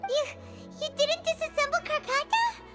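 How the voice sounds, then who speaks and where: A woman voicing a small, childlike fairy companion in a video game, squeaky and exclamatory. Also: lively intonation, normal speed. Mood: bewildered. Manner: exclamatory, refers to herself by name. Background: music.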